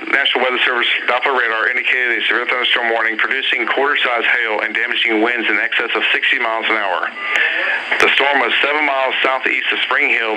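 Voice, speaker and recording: A man announcing live severe weather bulletins on the radio in a halting, matter-of-fact way, pausing through lists of places. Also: mid-range voice. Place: weather service office, heard over radio. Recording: very noisy weather radio broadcast.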